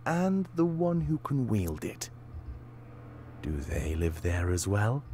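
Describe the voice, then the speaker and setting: An actor playing a menacing male beast interrogator in a fantasy game, smug and deliberately intimidating. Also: on the low side, big pitch swings, warm tone, a little hiss or hum, heavily acted. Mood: threatening. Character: sinister, menacing anthro beast interrogator, male, smugly threatening.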